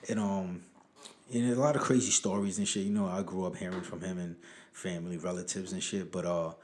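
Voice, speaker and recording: Man, fairly low pitch, podcast guest, casual, slangy, circling back as he talks. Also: fairly even intonation, brisk, a little mellow. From born in Harlem, New York, raised in Rhode Island. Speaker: guest, rapper.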